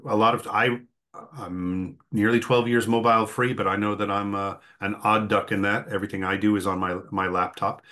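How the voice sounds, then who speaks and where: A man hosting a conversational interview, thinking aloud in a rambling way and often clearing his throat. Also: fairly low pitch, a bit bright. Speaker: host and interviewer.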